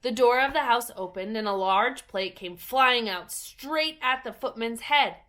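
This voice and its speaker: A woman's voice: on the high side, measured pace, fairly bright tone. Woman reading a children's storybook. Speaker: story reader.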